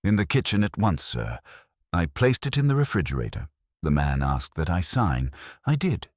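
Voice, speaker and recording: A man's voice: low-pitched, wildly swooping pitch, moderate pace, no room sound, studio quality, exaggerated voice. A man voicing a fussy, dignified male cook and servant who speaks precisely and deferentially in an audiobook. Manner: precise, deferential, slightly affronted.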